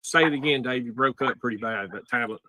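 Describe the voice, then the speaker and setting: A man's voice: medium pitch, fast talker, pushed and bright, slight background noise, rough recording. A man hosting a casual livestream, asking probing questions in an informal way. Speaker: host of a livestream taking calls from jail. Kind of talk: casual livestream interview chat.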